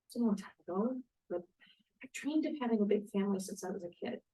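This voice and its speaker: A woman's voice: mid-range voice, fast talker, dark tone, lightly acted. A woman acting as an earnest young 1940s bride-to-be who longs for home and family, in a script read-through. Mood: wistful. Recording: distant, echoey room microphone.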